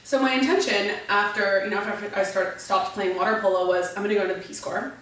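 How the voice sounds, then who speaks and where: A woman's voice: mid-range voice, quick pace. A woman in her thirties, a beverage entrepreneur, telling stories as a guest in a casual interview, with frequent restarts. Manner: animated storyteller, frequent restarts. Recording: echoey room, mic at a distance.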